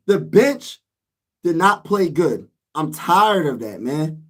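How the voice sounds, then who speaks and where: A man's voice: a little high, sing-song, slow pace. A man losing his voice, ranting emphatically as a sports vlogger in a casual, frustrated way.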